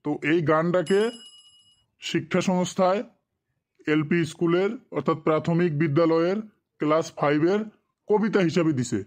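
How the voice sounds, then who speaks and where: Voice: medium pitch, normal speed, pauses often, a little mellow, natural voice. A performer voicing a strict male village schoolteacher in a cartoon, instructing pupils in colloquial speech. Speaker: teacher. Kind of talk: cartoon classroom lesson, recitation.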